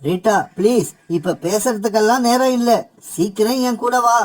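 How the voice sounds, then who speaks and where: A bossy male crow from a cartoon, as an actor voices him, with a mid-pitched voice. He talks with urgent, pushy force. He sounds like a storybook bird with a lot of pride. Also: moderate pace, close to an everyday voice, rough recording. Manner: pleading, urgent. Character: proud male crow who turns desperate. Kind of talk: cartoon voice acting.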